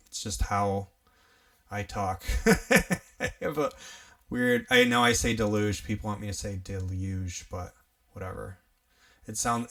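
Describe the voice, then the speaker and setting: A young man with an upstate New York accent and a low, gravelly voice with a bright edge. He talks through a casual live music-gear tutorial in a relaxed, chatty way, laughing easily, and sounds a little distant from the mic. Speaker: livestream host teaching music gear.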